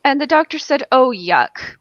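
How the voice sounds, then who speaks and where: Higher-voiced woman telling a wry story. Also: big pitch swings, measured pace, no room sound. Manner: wry, self-deprecating, acts out dialogue. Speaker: guest on a charity livestream. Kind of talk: casual personal storytelling. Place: indoors at home, on a group video call. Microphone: video call microphone. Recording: dull, close video-call audio.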